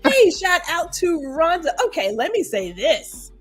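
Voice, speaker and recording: A woman's voice: very high voice, sing-song, unhurried, faint music behind. A woman hosting a live stream, chatting casually, laughing often and reading viewer comments aloud. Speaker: livestream show host.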